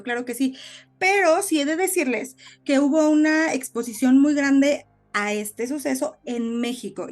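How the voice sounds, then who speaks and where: A woman's voice: fairly high pitch, a little mellow. A woman with a Mexican accent co-hosting a podcast, telling stories in a casual, chatty way. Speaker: podcast co-host. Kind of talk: casual conversational storytelling.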